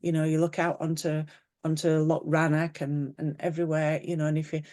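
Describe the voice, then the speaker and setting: A woman talks casually as an interview guest over a video call. Her voice sits on the low side, and she rambles warmly, full of fillers and restarts. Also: little pitch movement, fairly quick. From Yorkshire, England. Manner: chatty and warm, many fillers and restarts. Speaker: author guest.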